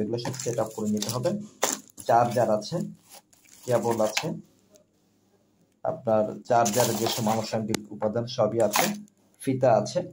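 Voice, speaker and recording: Bangladeshi man pitching products over background noise. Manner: repetitive, halting phrases. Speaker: seller presenting products. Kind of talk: product demonstration sales pitch.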